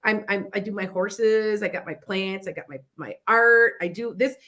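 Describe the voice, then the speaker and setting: Bright-voiced chatty woman with a Chicago accent. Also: mid-range voice, moderate pace. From Wisconsin near the Illinois border. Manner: rambling and chatty, with frequent restarts and asides. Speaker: psychic radio show host. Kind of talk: casual advice talk on internet radio.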